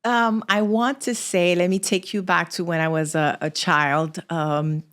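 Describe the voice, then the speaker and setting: A woman's voice: on the low side, steady tone, a little slow, fairly bright tone. A middle-aged woman from Haiti, telling her own story conversationally as a podcast guest. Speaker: podcast guest, small business founder.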